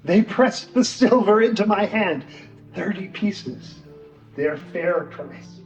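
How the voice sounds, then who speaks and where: A man playing a despairing, bitter betrayer in a church Passion play, speaking with slow, dramatic anguish. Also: high-pitched, animated, darkish tone, heavily acted. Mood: bitter remorse. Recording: echoey church hall, dull sound, music.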